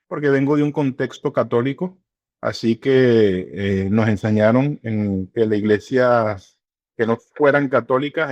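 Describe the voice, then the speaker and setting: A middle-aged man, speaking as an interview guest in an unhurried way, with many hesitations and fillers. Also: mid-range voice, darkish tone, no room sound. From Venezuela. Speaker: church regional director, guest. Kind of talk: relaxed interview conversation.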